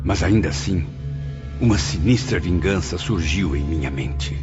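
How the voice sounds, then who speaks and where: An actor playing a cruel, gloating villain obsessed with love, theatrical and mocking in an audio drama. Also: male voice, low-pitched, lively intonation, unhurried. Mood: brooding regret. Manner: theatrical, mocking, swinging between menace and pleading. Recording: dull lo-fi, music behind.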